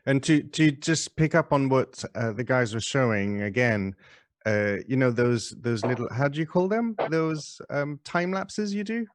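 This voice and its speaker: A man chatting on a panel in a relaxed way, often trailing off quietly. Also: medium pitch, a little slow. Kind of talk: casual video call panel.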